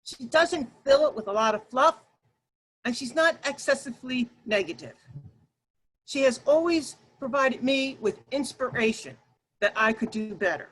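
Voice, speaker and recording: A woman with a loud, projecting voice leads an online workshop, addressing her listeners directly. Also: high voice, expressive, moderate pace, slight background noise, low-quality recording. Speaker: workshop leader and Toastmasters speaker. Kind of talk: workshop presentation to an online group. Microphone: video call.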